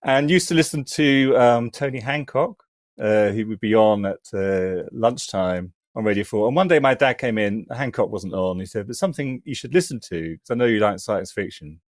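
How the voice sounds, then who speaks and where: Male academic guest, middle-aged, thoughtful and halting, very dry recording. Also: on the low side, no room sound. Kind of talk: casual reminiscing and discussion.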